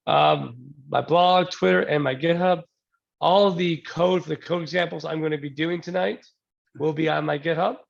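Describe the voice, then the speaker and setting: Gravelly man presenting with a cold. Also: a little high, normal speed, dull audio. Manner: relaxed, joking, many ums and restarts. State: getting over a cold. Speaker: presenter at a user group talk. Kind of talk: casual technical presentation. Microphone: new desk mic, then a headset.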